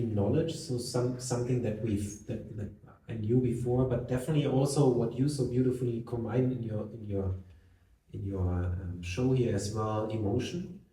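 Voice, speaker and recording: A man on a discussion panel, answering in a measured way with frequent restarts. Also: mid-range voice, dark tone. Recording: echoey room, mic far off.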